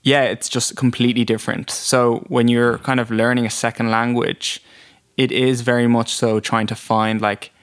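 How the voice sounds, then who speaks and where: A young man from Japan who grew up partly in Ireland, answering interview questions in a relaxed way, full of fillers like "kind of" and "um". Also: mid-range voice, moderate pace, smooth. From Japan, then Ireland from age six.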